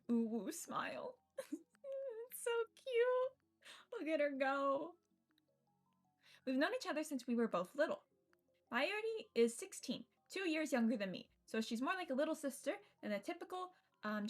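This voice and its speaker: Giggly young woman streamer, lively pitch. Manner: giggly, playful, swears, chats with viewers. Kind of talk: casual live-stream commentary on a visual novel.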